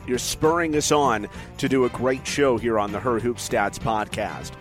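An enthusiastic male podcast host with a mid-pitched voice. He talks in a chatty way, moving between interview questions and addressing his listeners. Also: normal speed, over music. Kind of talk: sports interview and show outro.

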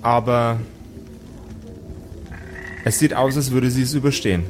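A male game master tells a fantasy scene slowly and deliberately, breaking it into short dramatic phrases. His mid-pitched voice sits over prominent background music. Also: steady tone. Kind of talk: improvised dramatic narration for a tabletop audio play.

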